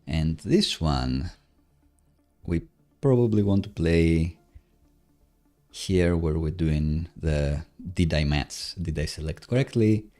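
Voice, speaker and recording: A man with a low, unhurried voice talks through his code on a casual livestream. He muses to himself haltingly, in a mellow tone, with soft music and typing behind him. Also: lively intonation. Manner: hesitant, halting, self-musing. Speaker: live-coding streamer and host. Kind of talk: casual livestream coding commentary, thinking aloud. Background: soft background music, computer keyboard typing.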